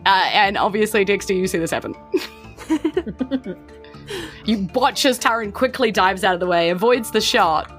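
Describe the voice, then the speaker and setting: A woman's voice: mid-range voice, lively intonation, moderate pace, long pauses, fairly bright tone, soft background music. A woman with a New Zealand accent, running a tabletop game as game master in an informal, conversational storytelling style. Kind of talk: improvised tabletop game narration.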